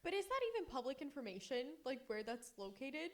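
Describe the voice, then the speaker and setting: Very high-pitched young woman, joking co-host. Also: sing-song, fairly quick, a bit bright. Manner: wry, joking, incredulous commentary. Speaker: podcast co-host. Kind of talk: casual banter.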